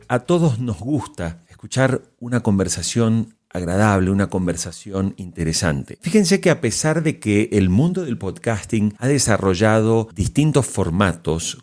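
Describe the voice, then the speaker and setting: A man's voice: fairly low pitch, a little mellow. A man hosting a podcast, delivering prepared commentary to listeners. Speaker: podcast host.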